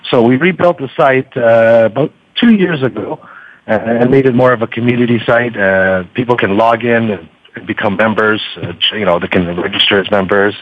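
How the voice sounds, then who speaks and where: A man talking casually and somewhat haltingly as a guest in an interview. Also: medium pitch, no room sound, highs cut off. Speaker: podcast guest, book reviewer.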